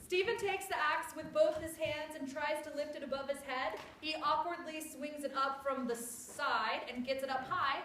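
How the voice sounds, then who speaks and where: A woman's voice: high-pitched, normal speed, hardly any pauses, bright, forceful tone. A woman narrator giving a scripted reading of stage directions. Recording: echoey room, distant mic, background noise.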